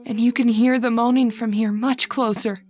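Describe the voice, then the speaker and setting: A woman's voice: a little high, steady tone, normal speed, a little mellow, natural voice. A woman playing an anxious, frightened daughter in an old-time radio horror drama, with dramatic, earnest delivery. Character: anxious, frightened daughter recounting her father's haunting.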